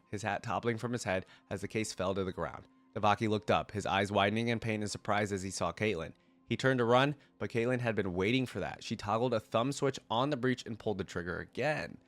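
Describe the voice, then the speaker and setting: A man's voice: lowish voice, a bit bright, dry recording. A man with a congested, cold-affected voice, reading a story aloud and breaking off into rambling, casual commentary as a reaction video host. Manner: rambling, thinking aloud in asides.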